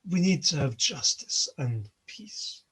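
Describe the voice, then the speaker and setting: A man voicing an old male chief god who tries to calm a quarrel at a feast. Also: a little high, slow, a little hiss or hum, heavily acted. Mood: placating.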